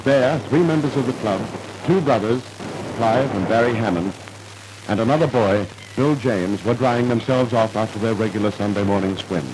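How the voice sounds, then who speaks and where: A man's voice: on the low side, moderate pace, noisy background. A man narrating a scripted 1950s radio drama in a formal, dramatic storytelling manner, on an old radio recording.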